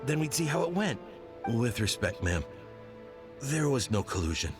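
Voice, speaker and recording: A man's voice: mid-range voice, big pitch swings, normal speed, close to an everyday voice. An actor playing a sheepish male officer who hesitantly defends himself in a video game. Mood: defensive. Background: game music.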